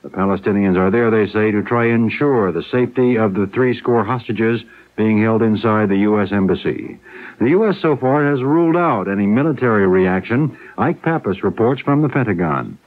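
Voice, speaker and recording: A man's voice: fairly low pitch, moderate pace. A man anchoring a radio newscast, reading scripted news. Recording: thin, tinny radio broadcast.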